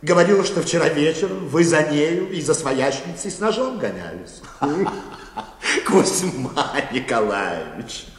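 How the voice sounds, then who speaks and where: A vain, pompous bank chairman from a radio play, speaking in a fairly high voice that swings grandly up and down. He is fussy, familiar and very pleased with himself. The sound rings with long hall reverb. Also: sing-song, measured pace. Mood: chiding, amused. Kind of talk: theatrical Russian radio drama acting.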